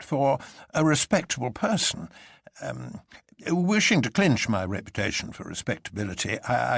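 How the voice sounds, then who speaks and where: A man voicing an excitable young poet in a story, improvising with nervous, hesitant bravado. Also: mid-range voice, slightly rough, no room sound, clean studio sound, pitched above the performer's own voice, heavily acted. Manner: hesitant, improvised delivery with ums and repeats.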